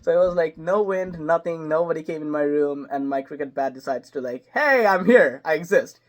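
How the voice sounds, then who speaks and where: Animated man telling stories. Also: brisk. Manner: animated storyteller, jumps higher and louder when excited; loose, hesitant phrasing with many "like"s. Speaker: voice actor guest. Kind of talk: casual interview chat.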